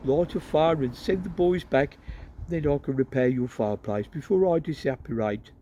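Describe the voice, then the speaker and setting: A man voicing a flustered, enthusiastic middle-aged wizard father who stammers with excitement. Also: on the high side, moderate pace, slight background noise, voice pushed up, close to an everyday voice. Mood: apologetic.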